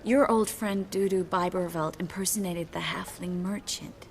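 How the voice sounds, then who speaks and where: A woman playing a composed, worldly sorceress in a video game, with a measured, dry, wry delivery. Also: lowish voice, fairly even intonation, gravelly. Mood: dry, thoughtful. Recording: faint ambient noise behind.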